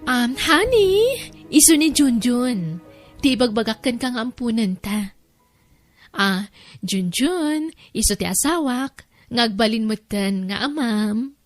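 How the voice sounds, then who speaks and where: A woman's voice: on the high side, lively intonation, takes it slowly, soft background music. An Ilocano-accented woman playing a coaxing, insistent wife in a radio-style drama, speaking emphatically.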